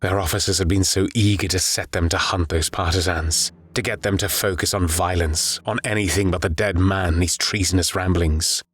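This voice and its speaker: A man narrating a dark war story in a grave, deliberate, dramatic audiobook style. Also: sits low, fairly even intonation, slightly rough.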